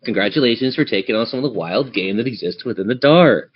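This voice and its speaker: A man's voice: mid-range voice, animated, fast, crowd noise. Male game master, off-the-cuff tabletop storytelling, slightly dull recording. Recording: dull lo-fi band-limited audio.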